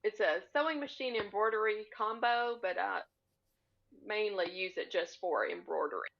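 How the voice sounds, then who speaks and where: A woman speaking as a podcast guest in relaxed, casual conversation over a video call with slightly muffled sound. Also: medium pitch, normal speed, gravelly. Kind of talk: casual chat about sewing. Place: indoors, split-screen video call. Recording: slightly dull, lo-fi call audio.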